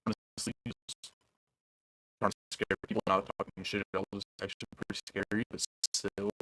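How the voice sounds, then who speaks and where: A man's voice: fairly low pitch, flat delivery, normal speed, bright, forceful tone, poor audio. A young man producing music on a livestream, chatting loosely with his viewers in a rambling, casual way. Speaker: music producer streaming live.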